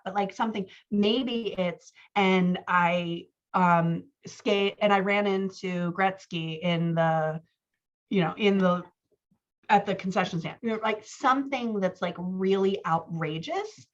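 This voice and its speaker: A woman's voice: mid-range voice, muffled recording. A woman giving informal one-on-one writing feedback as a coach, explaining her edits and acting out example lines.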